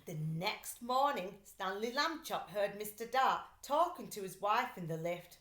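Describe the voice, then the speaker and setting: A woman reading a children's story aloud like a teacher, with expressive, emphatic delivery. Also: medium pitch, a little slow. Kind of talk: scripted storybook narration. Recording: echoey room.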